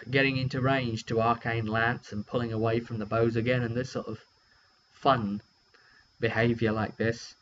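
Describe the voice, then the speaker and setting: A British man with a medium-pitched voice tells a game story in a loose, chatty way. He hesitates and rambles as he goes, unscripted. The recording is lo-fi and dull, with a light hum underneath. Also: a bit bright. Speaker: game master and vidcast host. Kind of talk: casual session recap, unscripted.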